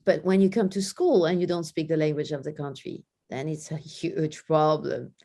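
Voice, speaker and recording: A woman from France with a French accent, a guest in an interview, speaking earnestly and slowing down to stress key points. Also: deep voice, steady tone, mellow. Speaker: guest education researcher. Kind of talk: interview conversation.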